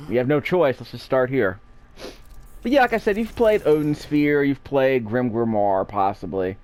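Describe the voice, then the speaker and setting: A man's voice: fairly low pitch, a little mellow. A man casually commentating on a video game as its host, rambling off into asides. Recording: game music behind. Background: video game music.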